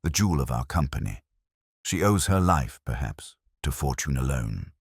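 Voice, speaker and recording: A man's voice: rumbling low, sing-song, moderate pace, dry recording, clean studio sound, exaggerated voice. A man voicing a weary middle-aged police inspector, solemn and formal, in a storybook reading. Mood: soft, solemn.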